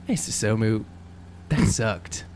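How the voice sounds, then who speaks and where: A man's voice: fairly low pitch, very animated, slow pace, a little mellow. A man playing a tabletop role-playing game, bantering in a joking, informal way. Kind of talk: casual banter during game play. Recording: faint hum and background noise.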